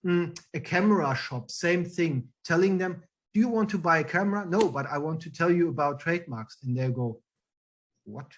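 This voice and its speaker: Middle-aged German-accented man, guest telling a story, lively and quick, fairly high voice, restarts and ums. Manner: lively, quick storyteller who acts out quoted dialogue, with frequent ums and restarts. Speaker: guest, trademark attorney. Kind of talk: storytelling in an interview.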